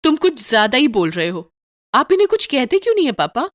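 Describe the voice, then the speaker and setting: A woman as snooty young rich wife, highish voice, indignant, complaining, spoiled, cartoon drama. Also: big pitch swings, a little fast. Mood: angry, whining.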